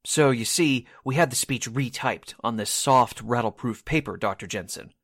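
A man's voice: medium pitch, moderate pace, a bit bright. A man playing an affable, efficient 1940s radio station employee in a radio drama, explaining things in a businesslike way. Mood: explanatory.

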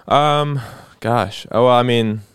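A man's voice: mid-range voice, slow, smooth, no room sound, clean studio sound. A man, a guest musician, talking casually and thoughtfully on a podcast, with frequent fillers and restarts.

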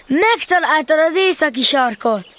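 A woman playing a kind, earnest young boy in a children's audio drama, speaking with gentle care. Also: sits high, animated, a little hiss or hum.

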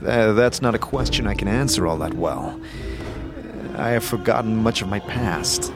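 A man's voice: on the low side, normal speed, music in the background, close to an everyday voice. A man playing a polite, curious wanderer with no memory, speaking hesitantly in a fantasy audio drama. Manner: hesitant, apologetic.

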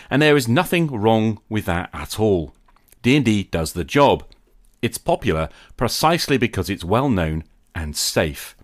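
A man with a low-pitched voice delivers a reflective podcast monologue. His phrasing is measured and emphatic, with deliberate pauses, and the sound is dry with little room on it. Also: dry recording. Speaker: podcast host.

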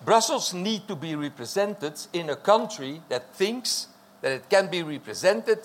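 Emphatic man, slightly high-pitched. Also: expressive, unhurried. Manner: emphatic, declarative, repeats phrases for emphasis. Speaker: panel speaker. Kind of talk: argumentative discussion.

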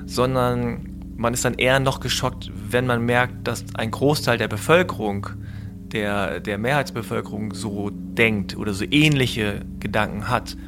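A man's voice: medium pitch, steady tone, a little slow, over music. A man in his mid-forties chatting casually, reflective and often hesitant as he searches for words. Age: mid-40s. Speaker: podcast discussion partner. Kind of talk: casual conversation.

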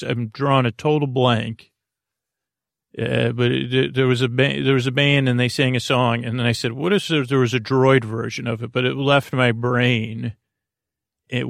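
A man hosting a podcast with a creaky voice, rambling through an improvised monologue, halting and digressing as he trails off mid-thought. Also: mid-range voice, no room sound, studio quality. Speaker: podcast host.

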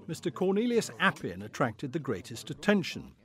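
A man's voice: fairly high pitch, big pitch swings, moderate pace. A man reading scripted story narration for an audio play.

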